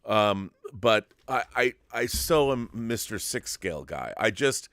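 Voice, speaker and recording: Guy with a lowish voice hosting a fan show, very dry sound, keeps restarting sentences. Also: lively intonation, fairly bright tone, dry recording. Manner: halting, repeats and restarts phrases. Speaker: talk show host. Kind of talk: casual fan chat.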